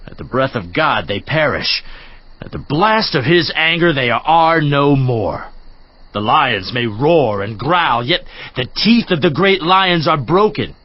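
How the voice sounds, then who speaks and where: A male actor plays a stern, admonishing counselor of ancient scripture. His husky voice rises and falls widely as he preaches with rhetorical emphasis. It is a theatrical dramatized reading, recorded slightly dull. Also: a little high, animated, unhurried, no echo. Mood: stern rebuke. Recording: slightly muffled audio.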